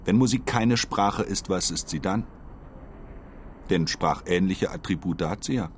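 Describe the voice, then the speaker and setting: Earnest wordy go-between guy, low voice, polite, radio drama, faint noise behind. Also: a little slow. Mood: frank, earnest. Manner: formal, polite, long-winded, articulate. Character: earnest, wordy male envoy pitching for a mysterious client.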